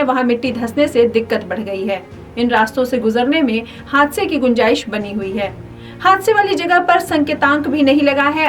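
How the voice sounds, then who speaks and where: Female news presenter, high voice, scripted bulletin delivery with music underneath. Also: recorded from a distance.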